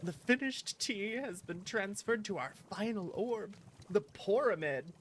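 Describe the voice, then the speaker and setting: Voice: mid-range voice, lively intonation, a little slow, slight background noise, close to an everyday voice. Streamer putting on a theatrical, cheerful tea-making instructor. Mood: cheerful, instructive. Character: cheerful game character patiently explaining tea-making. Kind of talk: reading game dialogue aloud. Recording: music behind the voice.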